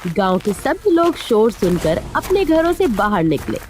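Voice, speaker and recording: A woman's voice: medium pitch, very animated, a little slow, darkish tone, a little hiss or hum. A woman narrating a scripted cartoon moral story as a storyteller.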